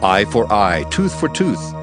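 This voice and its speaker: A man's voice: fairly low pitch, very animated, measured pace, music in the background. A man narrating dramatized scripture in a solemn, declamatory manner.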